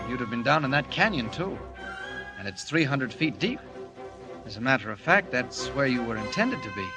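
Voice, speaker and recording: A man's voice: mid-range voice, big pitch swings, normal speed. An actor playing a bold male superhero who poses as a flustered young reporter, in 1940s radio serial style. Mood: assertive. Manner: confident declaiming to himself, stammering meekly with others. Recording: old 1940 radio with loud music.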